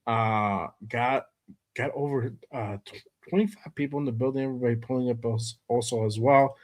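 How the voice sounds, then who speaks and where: Man, hoarse from a cold, casual and energetic stream host with plenty of slang and shout-outs. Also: medium pitch, moderate pace. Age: adult with kids. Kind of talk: casual live-stream sports chat. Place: at home.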